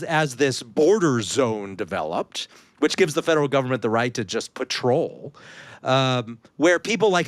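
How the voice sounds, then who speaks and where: Podcast host guy, kinda high voice, bouncing all over, laughs a lot, dry close mic. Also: dry recording. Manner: animated, laughs often, mimics others in quotes. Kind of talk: casual conversational interview.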